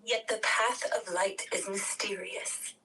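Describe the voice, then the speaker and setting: A woman's voice: mid-range voice, steady tone, a little slow, sharp tone, slightly rough. A woman reading a narrator's part at a table read in solemn scripted narration. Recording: distant mic, noisy background, clicks.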